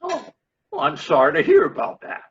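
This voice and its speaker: A woman's voice: on the low side, huge pitch swings, moderate pace, slight background noise. A woman playing a genial writer greeting an old friend in a play reading, heard on dull lo-fi audio. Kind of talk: virtual readers theater play reading. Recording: dull, lo-fi video call audio.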